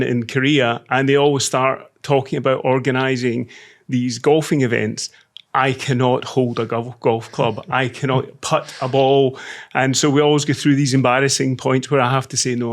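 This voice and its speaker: Scottish man with a gravelly voice. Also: mid-range voice. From Scotland. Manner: measured, self-deprecating, frequent restarts. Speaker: podcast guest, semiconductor executive. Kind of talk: casual interview talk.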